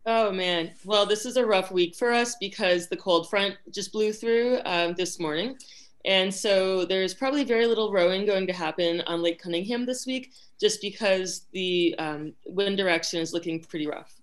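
A woman from Western Massachusetts, a rowing coach, telling her story in a relaxed, rambling way with many fillers. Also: medium pitch, normal speed. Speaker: rowing coach and club board member. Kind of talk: casual interview chat.

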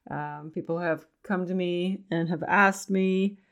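A woman hosting her own podcast in a chatty, casual way, her voice slightly raspy today and light laughs slipping in between phrases. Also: on the low side, fairly even intonation, unhurried. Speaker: podcast host. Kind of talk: casual solo podcast talk.

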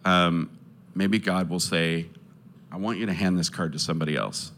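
A man with a very low, deep voice talks to a gathered audience in an earnest, halting way, full of small pauses and restarts as he shares his testimony and appeals for support. A faint room ambience sits behind him. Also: steady tone, a bit bright, slight background noise. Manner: earnest, hesitant, many fillers and restarts. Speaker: speaker sharing testimony and orphanage appeal. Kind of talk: casual testimony talk to a live audience.

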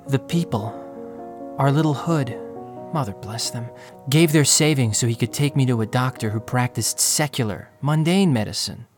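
A man's voice: medium pitch, normal speed, music in the background, close to an everyday voice. An actor playing an earnest, reflective male prisoner telling his life story in an audio drama, heartfelt in manner. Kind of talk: audio drama dialogue and first-person narration.

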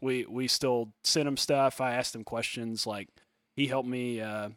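A soft-spoken man in his thirties chats on a podcast in a fairly high, gentle voice. He rambles, restarts his sentences and leans on fillers. The sound is close and dry, with no room to it. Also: fairly even intonation, a little slow, dry recording. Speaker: musician and podcast co-host. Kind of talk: casual podcast chat.

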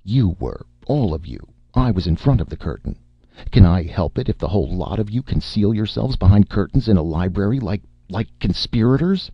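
A man's voice: low voice, very animated, a little slow, vocal fry, slightly rough, no echo, muffled recording, heavily acted. A man voicing a cowardly male writer in a story, nervous and defensive, blustering with feeble bravado. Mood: shaky bravado.

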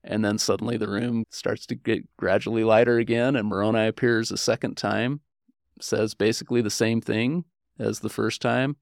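A man's voice: on the low side, creaky, dry recording, studio quality. A man speaking as a historian guest, explaining religious history in a conversational way.

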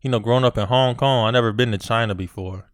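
A man hosting a casual podcast interview, relaxed and chatty with lots of fillers and friendly shout-outs. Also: mid-range voice, fast talker, no echo, clean studio sound. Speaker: podcast host. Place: Virginia.

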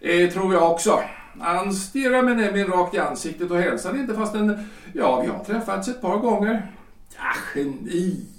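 A man's voice: sits high, lively intonation, distant mic. An actor playing a chatty, self-important middle-aged lawyer husband in a period audio drama, hesitant and stammering as he rambles. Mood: musing, pompous. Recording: echoey room, off the mic.

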